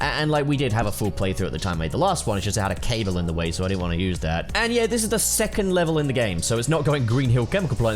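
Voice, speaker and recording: Male video host, mid-pitched and very animated, chatty gameplay commentary over music. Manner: informal and chatty. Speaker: YouTube host.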